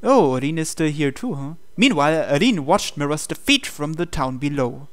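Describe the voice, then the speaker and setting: A man narrates a visual novel playthrough, reading the script aloud. His voice is clean and smooth and sits slightly higher than most men's. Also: very animated, moderate pace, fairly bright tone. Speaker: playthrough host reading game text.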